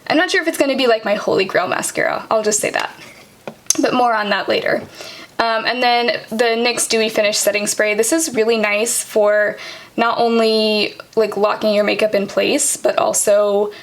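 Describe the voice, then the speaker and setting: A woman's voice: fairly high pitch, fairly even intonation, normal speed. A young woman talking casually through her makeup, full of ums and likes, her voice tired and cracking at the end of the day. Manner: chatty, many ums and likes. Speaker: beauty vlogger. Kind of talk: casual product walkthrough. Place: home vanity table, indoors.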